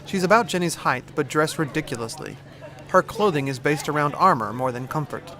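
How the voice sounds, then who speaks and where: A man's voice: on the high side, animated, brisk, sharp tone. A man giving scripted, present-tense narration for an audio drama. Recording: music behind.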